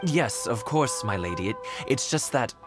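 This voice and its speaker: A man's voice: medium pitch, lively intonation, moderate pace, music in the background, close to an everyday voice. A man playing a doubtful but deferential guard in a video game, speaking formally and hesitantly. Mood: wary, doubtful. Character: wary male guard, deferential yet doubtful retainer.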